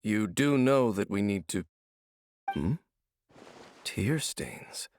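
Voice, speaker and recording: A calm game companion, a man who speaks low and gravelly. He takes his time, with a gentle, observant manner, over faint background noise. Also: slow pace. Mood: gentle concern. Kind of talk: video game voice acting.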